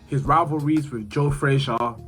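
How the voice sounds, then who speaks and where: A man's voice: mid-range voice, moderate pace, a bit bright. A man reading a scripted sports history narration for an explainer video, sometimes stumbling and repeating words. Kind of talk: scripted narration, read aloud. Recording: music behind the voice. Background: background music.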